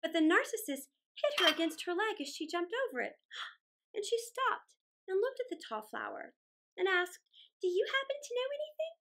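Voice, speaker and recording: A middle-aged woman reading aloud to children, expressive and deliberate, in a small room. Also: extremely high pitch. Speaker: storyteller. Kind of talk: children's read-aloud narration. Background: music.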